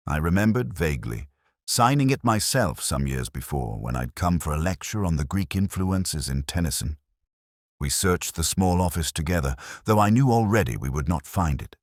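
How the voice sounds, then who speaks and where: A man voicing a pensive, wry police inspector who narrates a mystery story in a measured, literary, deliberate way. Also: very deep voice, no echo, clean studio sound, heavily acted. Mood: wry, observant. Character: pensive, wry older English male police inspector narrating his case. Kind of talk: scripted detective story narration.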